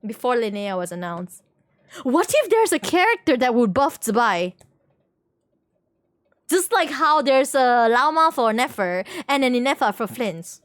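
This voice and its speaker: A woman's voice: fairly high pitch, very animated, a little slow, dry recording. A woman live streamer chatting casually and informally with her viewers while playing a game, in a giggly way. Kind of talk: casual chat with stream viewers during gameplay.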